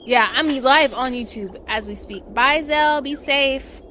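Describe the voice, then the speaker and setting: A woman streaming a game, chatting casually with viewers in halting, repeated half-phrases and exclamations. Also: high voice, sharp tone, a little hiss or hum. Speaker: live streamer playing a game. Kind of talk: casual livestream chat with viewers. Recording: game music behind the voice.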